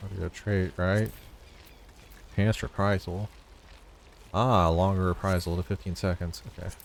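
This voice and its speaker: A man's voice: low voice, level tone, a little slow, vocal fry, slight background noise. A man giving casual gameplay commentary as a streamer, informal and half talking to himself, with some swearing. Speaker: game streamer. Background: game music.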